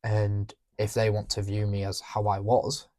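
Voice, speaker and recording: A young man talking candidly on a podcast, reflective and halting, often restarting his sentences. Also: on the low side, monotone, darkish tone, no room sound, clean studio sound. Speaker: podcast co-host. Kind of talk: candid emotional conversation.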